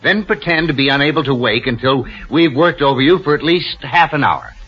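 An actor playing a coldly calculating, persuasive swindler in an old-time radio drama, commanding and pushy. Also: male voice, medium pitch, expressive, fairly quick, husky. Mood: brisk, coaxing. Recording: dull old radio recording with music.

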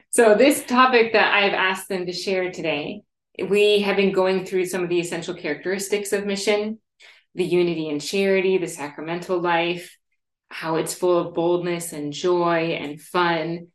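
Woman, podcast host, enthusiastic, lowish voice, echoey room. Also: fairly even intonation, normal speed. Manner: enthusiastic, welcoming. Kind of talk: podcast hosting, conversational. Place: room shared with guests.

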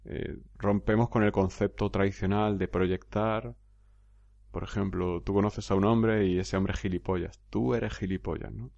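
A deep, gravelly male voice with a blunt, emphatic way of talking, hammering points home with repetition. He sounds like a podcast host coaching a listener. The recording is dry and somewhat muffled. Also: steady tone, normal speed, a little mellow, no room sound. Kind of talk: podcast commentary.

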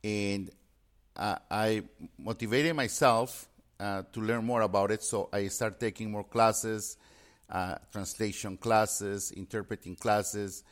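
A man from Peru, a native Spanish speaker, answering interview questions about his work in a halting way with many fillers and restarts. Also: on the low side, steady tone. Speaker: interpreting business guest.

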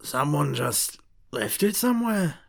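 A woman's voice: low voice, sing-song, a little slow, gravelly, heavily acted. A woman voicing a restless, anxious male demon who talks awkwardly and hesitantly, mumbling, in a storybook reading. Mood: awkward, unsure. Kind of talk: audiobook character voice.

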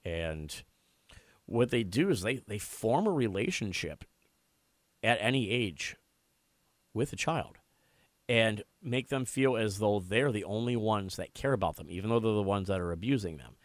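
A man's voice: lowish voice, expressive, moderate pace, dry recording. An American man hosting a live talk radio show, discussing issues and interviewing guests. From United States.

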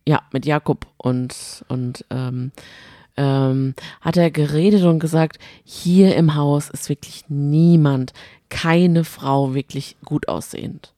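A woman's voice: deep voice, slow pace, mellow, dry recording, clean studio sound. A woman co-hosting a podcast in casual chat, speaking colloquially and with emphatic opinions. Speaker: podcast co-host. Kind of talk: casual chat about a reality show.

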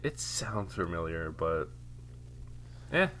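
A man with a raspy morning voice, chatting casually and teasing his co-host. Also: deep voice, very animated, a bit bright, slight background noise. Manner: playful and teasing, quick to laugh. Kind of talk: casual chat.

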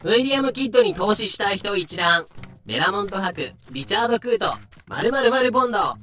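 A male narrator with a very bright, forceful voice, pitched a little high. He delivers scripted comedy narration in an explanatory way, slipping in dry sarcastic remarks. Music plays behind him. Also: animated, brisk.

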